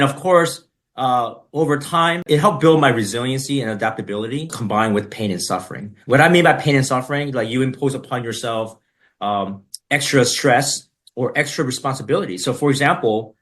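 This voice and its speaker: Middle-aged man, podcast guest, relaxed storytelling, lots of fillers, mid-pitched voice. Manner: relaxed, many fillers and tag questions. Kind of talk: conversational storytelling.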